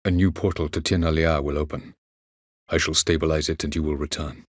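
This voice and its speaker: An actor playing an ancient, aloof elven sage in a video game, speaking in a measured, formal and condescending way. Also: male voice, very deep voice, fairly even intonation, slight background noise. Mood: grave, guarded. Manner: measured, formal, haughty delivery. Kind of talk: scripted video game dialogue.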